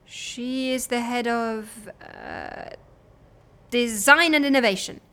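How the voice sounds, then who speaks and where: A woman playing a groggy, reluctant, soft-hearted agency worker in an audio comedy, hesitant and understated. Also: a little high, wildly swooping pitch, slow pace, full character voice. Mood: foggy, recalling. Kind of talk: audio comedy fiction acting.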